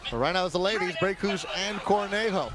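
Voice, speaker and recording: A man calling a boxing match play-by-play in a brisk, promotional broadcast manner. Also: high-pitched. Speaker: play-by-play commentator.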